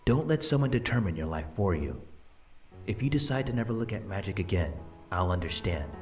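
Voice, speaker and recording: A man voicing a gentle old grandfather spirit. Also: sits low, a little fast, music in the background. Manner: calm, tender, reassuring. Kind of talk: voice acting in a visual novel.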